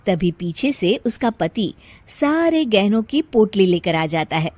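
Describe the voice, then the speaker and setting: A woman narrates a cartoon tale with expressive, animated intonation. The delivery is slow and deliberate, and the sound is dry and studio-close. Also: mid-range voice, no echo. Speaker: story narrator. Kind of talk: scripted cartoon story narration.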